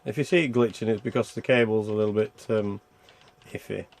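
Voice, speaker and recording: A man's voice: fairly low pitch, fairly even intonation, a little fast, gravelly, a little mellow. A man giving casual, rambling gameplay commentary, thinking aloud as he plays and reviews a video game.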